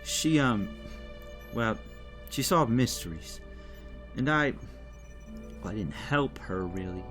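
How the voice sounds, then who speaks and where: A man's voice: medium pitch, lively intonation, slow pace, fairly bright tone. A man playing a bewildered, earnest rookie agent, hesitant and questioning, in an audio drama. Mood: unsure, earnest. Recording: music under the voice.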